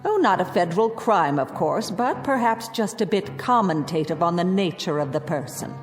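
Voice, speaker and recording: An actress playing a stern, older, unbending schoolteacher in a radio drama, speaking in a precise, cutting, haughty way. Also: female voice, mid-range voice, lightly acted. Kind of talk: classic radio drama acting. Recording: reverberant hall, music underneath.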